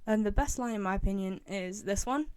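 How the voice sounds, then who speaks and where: A woman's voice: mid-range voice, brisk, darkish tone, smooth, no room sound. A young woman giving casual, opinionated commentary in a chatty, emphatic way. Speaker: video host, game fan.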